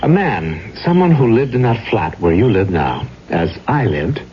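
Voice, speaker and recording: An actor playing a courtly, mysterious, melancholy gentleman with poetic, theatrical phrasing in an old-time radio drama. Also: on the low side, very animated, unhurried. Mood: solemn, tragic. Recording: dull, noisy old radio recording.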